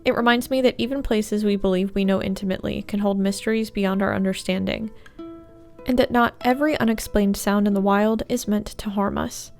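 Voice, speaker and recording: A woman with a stuffy nose from a cold, calmly reading horror stories aloud as host of her gaming channel. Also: mid-range voice, soft background music. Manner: calm, measured storytelling.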